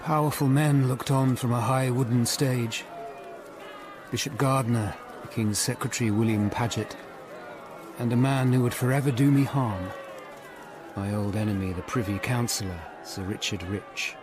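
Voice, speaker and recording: Casting: male actor as humane, reflective English lawyer of the Tudor age, forties, measured radio drama narration, faint background noise. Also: mid-range voice, steady tone, lightly acted. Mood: grim. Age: 40s. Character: melancholy middle-aged hunchbacked Tudor lawyer, humane and thoughtful.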